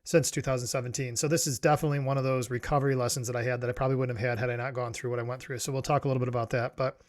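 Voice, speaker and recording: A man's voice: medium pitch, level tone, racing. A middle-aged man from New York giving a reflective solo talk as a podcast host, rambling with many restarts and fillers. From New York, USA.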